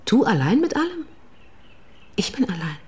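An actress in a German radio play playing a weary, exasperated wife who turns from evasive to bluntly candid. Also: female voice, fairly high pitch, very animated, moderate pace, a little mellow. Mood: exasperated, reproachful.

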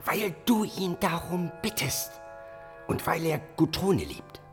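An actor playing a scheming, hate-consumed illegitimate half-brother of a king in a fantasy radio drama, flattering and insinuating. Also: a little high, soft background music. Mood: persuasive scheming. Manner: flattering, insinuating, deliberate emphasis.

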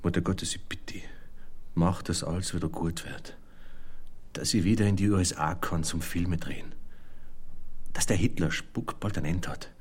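An actor playing a troubled, devout mountain filmmaker, pleading earnestly in a radio play. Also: male voice, low voice, fairly even intonation, normal speed, long pauses, slightly rough. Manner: pleading, earnest, partly murmured. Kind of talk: German radio play acting.

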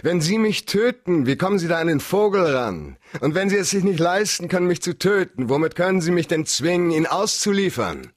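An actor playing a tough, cynical private detective in a radio crime drama, talking in a blunt, cool, slangy way. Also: male voice, high-pitched, very animated, a little fast, hardly any pauses, dry recording, clean studio sound. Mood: defiant.

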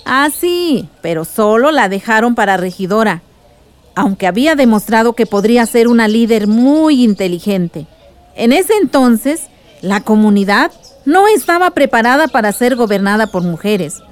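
An actress playing a warm, reflective aunt who recalls her village past in a nostalgic radio drama. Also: a little high, expressive, normal speed, natural voice. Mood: sober, reflective. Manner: nostalgic, storytelling.

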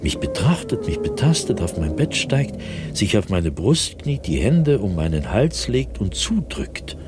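A man playing an anguished, fearful, solitary diarist in a radio drama, speaking in a husky, brooding voice with sudden swells and hushed drops. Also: on the low side, big pitch swings, unhurried, noisy background. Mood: terrified. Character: anguished, fearful, solitary male diarist haunted by nightly dread. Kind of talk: German radio drama monologue, diary read dramatically.

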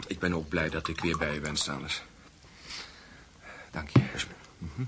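An actor playing a fawning, coy, cold-blooded assassin in a radio play, with a husky voice. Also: male voice, a bass voice, level tone, a little slow, heavy background noise.

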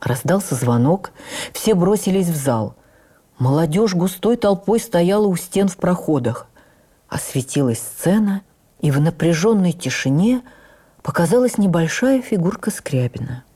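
An actress playing a stage actress who recalls her youth with admiring wonder, in measured, literary, expressive radio narration. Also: fairly low pitch, husky. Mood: reflective, admiring. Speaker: actress reading a memoir on radio. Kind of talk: dramatic first-person memoir narration for radio.

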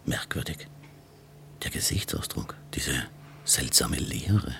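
An actor playing a weary middle-aged police inspector in a radio crime drama, with an Austrian accent and muttered sardonic asides. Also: male voice, extremely low pitch, animated, slow pace, gravelly, fairly bright tone, whispered, full character voice. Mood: sardonic muttering.

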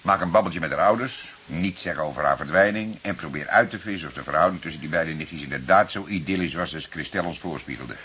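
An actor with a Netherlands Dutch accent playing a seasoned, wry, fatherly older police detective, speaking deliberately and a little ornately, as if lecturing, in a radio drama. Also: a bass voice, a bit bright. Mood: measured, instructing. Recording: hissy old radio play recording.

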